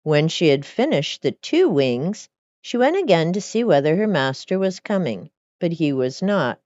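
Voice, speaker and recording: A woman's voice: lowish voice, big pitch swings, moderate pace, darkish tone, no echo, dull audio. A woman reading a storybook aloud expressively, with casual, chatty asides. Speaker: storyteller reading fairy tales.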